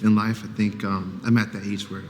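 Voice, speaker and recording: A man in his twenties from Mexico, making a relaxed, rambling dating pitch with many hedges. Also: brisk, in a large hall, slight background noise. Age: 20s. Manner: relaxed and rambling, hedging with "you know". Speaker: dating show contestant.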